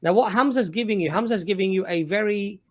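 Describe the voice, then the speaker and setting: A man's voice: high voice, fast. A man in a debate who keeps cutting in, then firmly sums up what the caller has conceded. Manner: persistent, summarizing points firmly. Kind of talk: debate argument.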